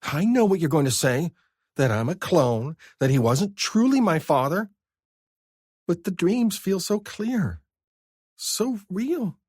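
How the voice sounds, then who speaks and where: A male narrator voicing a sad, sharp-tongued young woman who answers with tearful but wry retorts. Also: sits high, big pitch swings, takes it slowly, breathy edge, dry recording, studio quality, pitched above the performer's own voice, exaggerated voice. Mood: wistful.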